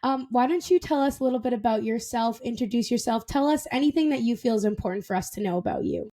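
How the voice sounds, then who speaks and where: A young woman hosts a relaxed podcast chat with eager enthusiasm, stressing her words by repeating them. Her voice sits a little high, and she laughs easily between questions. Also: fast talker. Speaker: podcast host. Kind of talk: casual podcast interview.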